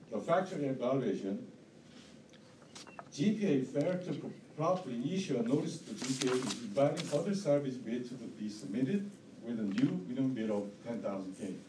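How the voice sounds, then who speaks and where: A man who is not a native English speaker, with a strong accent, giving a formal statement at a hearing in halting, repetitive phrases. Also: medium pitch, dark tone. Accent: strong non-native. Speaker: bidder presenting an appeal at a hearing. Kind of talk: formal statement, partly read aloud. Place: indoor hearing room. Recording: roomy, distant mic, faint noise.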